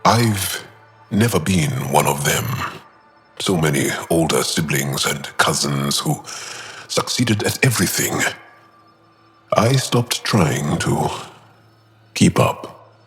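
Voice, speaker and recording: A man playing an earnest, self-doubting, gentle young alien warrior in a video game, speaking haltingly and deliberately. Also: a bass voice, animated, soft background music, heavily acted. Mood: vulnerable, confessing. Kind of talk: video game character acting.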